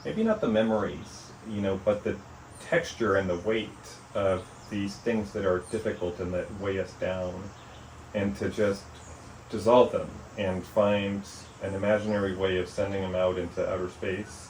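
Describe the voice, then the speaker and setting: Deep-voiced man talking reflectively in an echoey room. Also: darkish tone. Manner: thoughtful, measured, with frequent "you know" and "um". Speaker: author interviewed about his book. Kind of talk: reflective interview conversation. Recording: echoey room, mic at a distance, faint noise.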